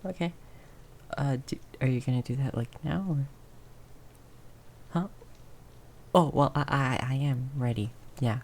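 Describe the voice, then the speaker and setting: Woman voicing a timid stammering mouse, low and mellow. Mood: shy, nervous. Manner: nervous stammering, halting and repeating words. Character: tiny timid mouse person, nervously eager to please. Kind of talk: improvised ASMR roleplay. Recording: faint background noise with rain ambience. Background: rain sounds.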